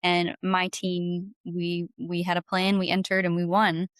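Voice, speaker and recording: Female, 20s, podcast guest. Slightly low pitch, dry close sound, gushing casual delivery. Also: monotone, unhurried, clean tone, dry recording. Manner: bubbly, gushing, frequent "like". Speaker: guest singer-songwriter and violinist. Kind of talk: casual interview chat.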